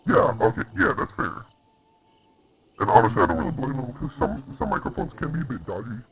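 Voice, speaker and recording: A man's voice: medium pitch, sing-song, a little fast, gravelly, slight background noise, poor audio. Young man chatting on a game stream. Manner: chatty, reacts aloud to the game and to chat. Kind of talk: casual livestream chat while gaming. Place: at home. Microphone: laptop microphone.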